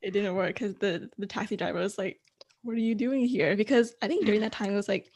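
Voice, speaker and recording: A young woman co-hosting a podcast, chatting in a relaxed way with dry little asides. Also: medium pitch, fast talker. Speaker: podcast co-host. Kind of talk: casual chat.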